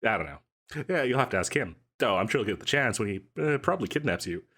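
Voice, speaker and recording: A man's voice: lowish voice, lively intonation, quick pace, sharp tone. A man playing an overeager, chatty and cheerfully shady salesman in a comedic audio roleplay, rambling and stammering coyly through his patter. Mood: admiring, amused. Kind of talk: comedic audio roleplay, one side of a conversation.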